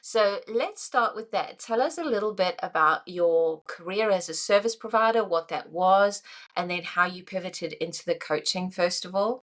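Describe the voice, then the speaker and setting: A woman's voice: lowish voice, moderate pace, fairly bright tone. A woman hosting a podcast interview, upbeat and affirming as she introduces and questions her guest. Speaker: podcast host.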